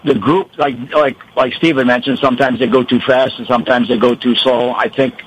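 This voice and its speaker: A man from Rochester, New York, calling in to a radio show; he has a gravelly voice and talks haltingly with many restarts. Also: mid-range voice, steady tone, poor audio. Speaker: caller. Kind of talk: radio call-in.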